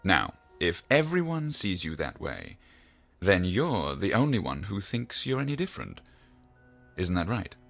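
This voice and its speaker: An actor playing a learned, probing psychiatrist in an audio drama, speaking in a deliberate, formal, professorial way. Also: male voice, low-pitched, wildly swooping pitch, vocal fry, soft background music, heavily acted. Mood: probing, reasoning.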